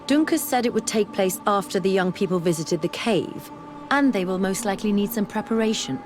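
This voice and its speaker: A woman's voice: medium pitch, expressive, music in the background, lightly acted. Earnest scholarly young woman played by an actress. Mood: intent, curious. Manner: measured, formal, thoughtful phrasing. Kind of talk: video game dialogue acting.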